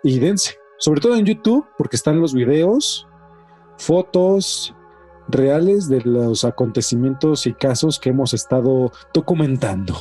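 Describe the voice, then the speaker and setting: A man's voice: mid-range voice, lively intonation, unhurried, warm tone. A man with a Mexican accent hosting a podcast, telling stories casually and repeating words for emphasis. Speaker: podcast host. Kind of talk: casual, animated storytelling. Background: faint music.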